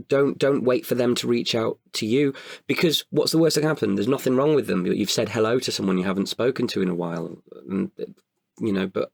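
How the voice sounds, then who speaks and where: A man with a creaky voice in a candid podcast conversation, speaking hesitantly and often correcting himself. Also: medium pitch, dry recording, clean studio sound. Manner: hesitant, halting with self-corrections. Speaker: writer-performer guest.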